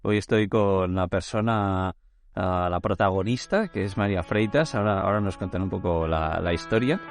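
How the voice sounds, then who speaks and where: Low-voiced relaxed man hosting a podcast. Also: normal speed. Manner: relaxed, loose, often restarts phrases. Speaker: podcast host. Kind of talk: casual conversational interview.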